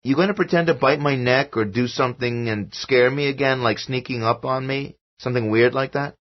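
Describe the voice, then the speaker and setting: A man voicing a cheeky, excitable young boy who talks in a bouncy, high, exclamatory way. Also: a little fast, natural voice. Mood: puzzled. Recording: dull lo-fi audio.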